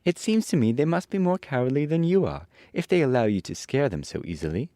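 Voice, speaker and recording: Storybook girl, young, polite and plucky male reader acting; much raised pitch, dry. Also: sing-song, brisk, dark tone, dry recording. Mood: reassuring.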